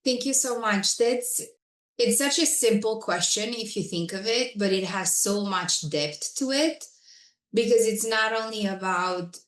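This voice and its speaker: A woman's voice: mid-range voice, unhurried, a bit bright, roomy sound. A woman from Romania, a guest telling her career story in an interview with frequent laughs. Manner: reflective, laughs often.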